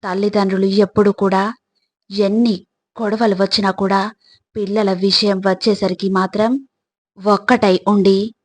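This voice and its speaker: A woman reading scripted motivational narration in a deliberate, instructive way, addressing the listener directly. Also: mid-range voice, flat delivery.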